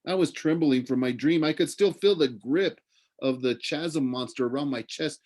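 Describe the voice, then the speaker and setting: A man narrating a children's novel aloud like a teacher reading to students, in an expressive storytelling way. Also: on the high side, moderate pace.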